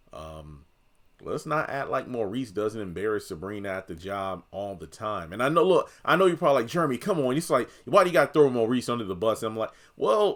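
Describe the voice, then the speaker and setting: Low-voiced man talking through his opinions. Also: a bit bright. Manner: conversational, thinks aloud, addresses viewers directly. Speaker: video commentator. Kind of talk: casual opinion commentary on a TV drama.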